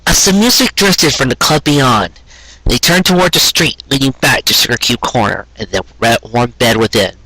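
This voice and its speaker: A man's voice: mid-range voice, moderate pace, sharp tone, husky, dry recording, low-quality recording. A man reading a sentimental romance story aloud in an earnest, dramatic storytelling manner.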